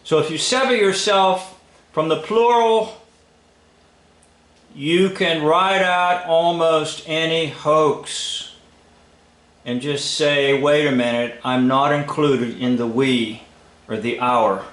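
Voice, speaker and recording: Guy doing skeptical film commentary, really slow, kinda high voice, short punchy phrases, echoey room. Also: fairly bright tone. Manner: instructive, sceptical, short emphatic phrases. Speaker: video commentator. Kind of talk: informal commentary over an old film.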